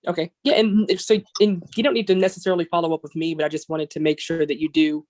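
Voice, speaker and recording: A woman's voice: low-pitched, level tone, quick pace, darkish tone. A woman attorney speaking in a tactful, businesslike way on a virtual meeting.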